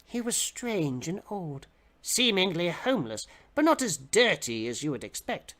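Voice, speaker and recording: Bright-voiced man giving a dramatic reading. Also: high-pitched, very animated, unhurried. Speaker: reader of comic fiction on a livestream. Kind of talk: dramatic audiobook-style reading.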